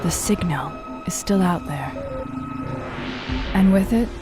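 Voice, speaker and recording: A woman's voice: lowish voice, expressive, takes it slowly. An actress playing a solemn narrator of humanity's last journey in a dramatic game trailer, with music behind her. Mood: solemn, hopeful. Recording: loud music over the voice.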